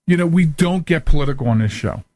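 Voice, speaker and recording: A man's voice: on the high side, lively intonation, quick pace, a little mellow, no room sound. An American man hosting a podcast in casual, unscripted talk, earnest and emphatic, often repeating himself as he goes. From United States. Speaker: talk show host.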